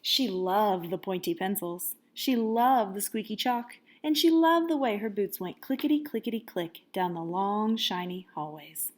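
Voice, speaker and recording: A woman reading a children's storybook aloud as a teacher would, with expressive, dramatic emphasis. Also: medium pitch, a little slow, few pauses.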